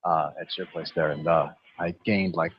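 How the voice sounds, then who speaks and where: Guy in his fifties, lowish voice, casual rambling answer, dull dry sound. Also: fairly even intonation, moderate pace, a little hiss or hum. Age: 50s. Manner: casual, lots of "you know" and "right". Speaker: athlete guest. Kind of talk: panel discussion answer.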